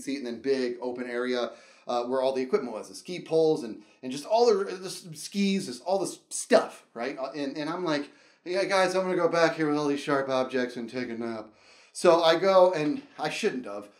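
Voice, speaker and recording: A middle-aged man with a fairly high, very lively voice rambles through a comedic personal story. He is casual and animated, acting out the people and reactions as he tells it. The sound is noticeably roomy and echoey. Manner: animated, acts out dialogue and reactions. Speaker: storyteller and show host.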